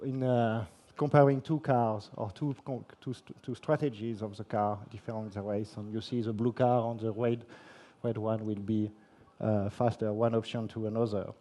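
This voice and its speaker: French man, conference speaker, mellow low-toned voice, halting delivery with fillers. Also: level tone. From France. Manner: halting, many fillers and restarts. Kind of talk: technical conference presentation. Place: conference hall.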